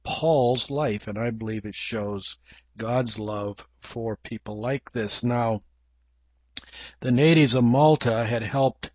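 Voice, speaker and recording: An older man with an English accent, preaching a measured, reflective Bible teaching with frequent pauses. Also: medium pitch, no room sound.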